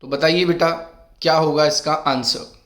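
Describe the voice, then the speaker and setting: A middle-aged man teaches an exam-prep class, his voice sitting a touch higher than most men's. His throat is sore. He reads each question out slowly and warmly calls his students beta. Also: fairly even intonation. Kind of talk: exam-prep lesson in Hindi mixed with English.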